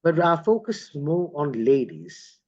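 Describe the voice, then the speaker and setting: Effusive host guy, somewhat high voice, muffled lo-fi call sound. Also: wildly swooping pitch, measured pace, darkish tone. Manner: enthusiastic, effusive. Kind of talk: online interview conversation. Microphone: video call.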